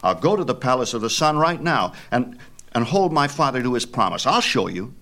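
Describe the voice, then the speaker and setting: A man's voice: medium pitch, sing-song, brisk, sharp tone, pitched above the performer's own voice. A man voicing a proud, defensive young boy, earnest and stubborn, in a mythology storybook. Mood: stung, insistent. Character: proud, defensive boy bluffing about his divine father.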